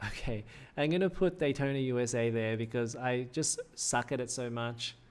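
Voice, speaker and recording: A man's voice: medium pitch, moderate pace, clean tone. A man in his thirties hosting a casual gaming livestream, rambling with many fillers and restarts, his voice a little worn. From Australia. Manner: rambling, self-correcting, frequent "uh" fillers. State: slightly losing his voice. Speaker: livestream host.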